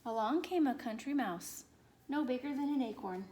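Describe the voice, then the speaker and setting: A woman with a fairly high-pitched voice reads a storybook to children. Her delivery is expressive and measured, leaning on key words for dramatic effect as she narrates. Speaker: storybook reader.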